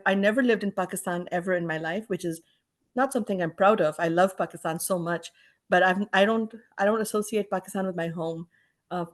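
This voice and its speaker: A woman in her forties from Canada, an English teacher talking casually to her class, chatty and laughing often. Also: lowish voice. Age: 40s.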